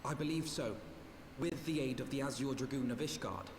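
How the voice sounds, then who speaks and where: A man's voice: mid-range voice, brisk, reverberant, slight background noise, close to an everyday voice. An actor playing an earnest, bookish young male noble in a fantasy game, with eager, formal, precise phrasing. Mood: excited realization. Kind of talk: scripted fantasy game acting.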